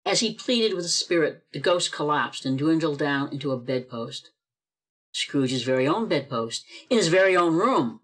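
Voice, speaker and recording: A man's voice: fairly high pitch, animated, moderate pace, fairly bright tone. Man narrating a radio play. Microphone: video call.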